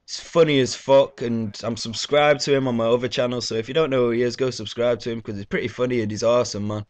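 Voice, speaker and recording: A man's voice: medium pitch, steady tone, fast. A man giving casual gameplay commentary with a blocked nose, rambling and swearing loosely between fillers. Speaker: gaming YouTuber.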